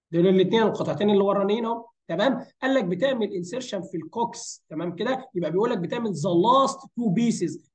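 Male Egyptian lecturer, high voice worn with fatigue, racing delivery, informal explanations peppered with English terms. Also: darkish tone. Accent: Egyptian Arabic. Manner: conversational, asks and answers his own questions. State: hoarse, strained voice from fatigue. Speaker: anatomy lecturer. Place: voice-over for a screen recording.

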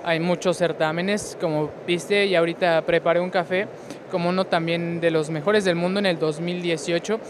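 A man's voice: a little high, steady tone, normal speed, noisy background. A young man, interviewed as a barista. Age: young adult. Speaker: barista and coffee taster. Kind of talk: spoken interview. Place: indoor expo booth. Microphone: handheld interview microphone.

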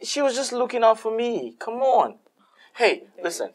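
A man's voice: very high voice, very animated, moderate pace, full character voice. A man with a Nigerian accent playing an easygoing young boyfriend who brushes off quarrels and apologizes to his mother. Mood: placating. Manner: placating, laughing things off.

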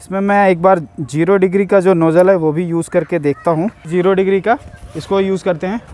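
A man's voice: sits high, steady tone, brisk, noisy background. A young man casually reviewing and demonstrating a pressure washer gun, chatting to his viewers as friends, recorded outdoors with the camera a few steps away. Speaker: product reviewer. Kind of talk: casual product demo. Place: outdoors in a yard, washing a car.

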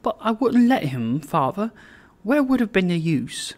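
Teasing young bride, pitched up by a narrator. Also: big pitch swings, unhurried, a little mellow. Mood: playful teasing. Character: affectionate, teasing, blooming young bride, devoted daughter.

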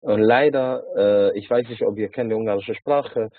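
Hungarian-accented man, halting, tinny call. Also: on the low side, no echo. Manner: halting, many filler sounds and restarts. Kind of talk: online lecture. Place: small indoor room. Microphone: webcam or laptop mic on a video call. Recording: tinny phone-like video call.

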